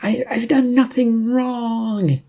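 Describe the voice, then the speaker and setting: A man voicing a timid, panicking male accountant in a story, stammering and pleading in a squeaky way. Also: fairly even intonation, takes it slowly, warm tone, heavily acted. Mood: desperate protest.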